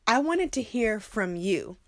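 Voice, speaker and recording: A woman hosting a podcast, introducing the episode and asking listeners questions in a measured way. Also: on the high side, sing-song, dry recording. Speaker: podcast host. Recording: music bed underneath.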